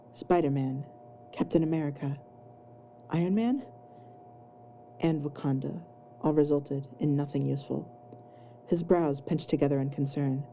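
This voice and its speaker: A woman's voice: deep voice, warm tone. A woman narrating a scripted fan fiction story as an audiobook-style reader. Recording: home recording with faint noise.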